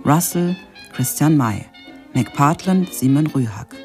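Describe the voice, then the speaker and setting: Woman reading credits, low dark voice, slow and formal, quiet music behind. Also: lively intonation. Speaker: announcer.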